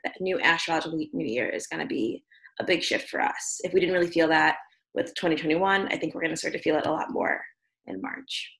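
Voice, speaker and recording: A woman co-host talks through astrology in a relaxed, rambling way, full of fillers. Her voice sits a little low and sounds sleepy and slightly raspy, as if recording late at night over a video call. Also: poor audio. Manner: chatty, explains astrology with many fillers. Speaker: podcast co-host. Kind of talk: casual chat.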